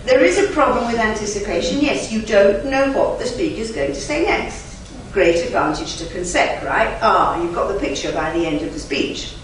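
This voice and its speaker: A woman whose first language is German, a conference interpreter giving an emphatic, chatty lecture to a live audience with lively asides. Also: mid-range voice, normal speed, few pauses. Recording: very echoey hall, from far off, faint noise.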